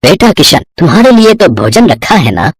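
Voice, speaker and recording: A man playing a kindly old wandering holy man in an animated moral story, solemn and measured. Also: on the high side, no echo. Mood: grateful.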